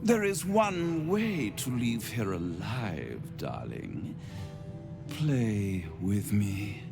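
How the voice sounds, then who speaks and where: Purring devil seducer, wildly swooping pitch. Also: male voice, big pitch swings, very slow, vocal fry, heavily acted. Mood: teasing, seductive. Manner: purring, teasing, theatrical. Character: seductive, playfully cruel male devil toying with prey. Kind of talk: video game character acting. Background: background music.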